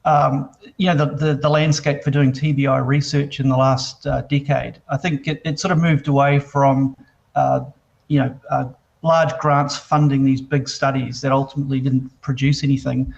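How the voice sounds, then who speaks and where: Brief: New Zealand exec, monotone, gravelly, hesitant, muffled call audio. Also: male voice, mid-range voice. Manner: halting, many fillers and restarts. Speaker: biotech company CEO. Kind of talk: interview answers.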